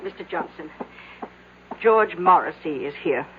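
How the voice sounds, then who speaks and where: A woman playing an uneasy, deferential secretary in a radio drama, speaking formally and haltingly. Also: fairly low pitch, expressive, background noise. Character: flustered, deferential female secretary, uneasy and hesitant. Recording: muffled, tinny old radio broadcast.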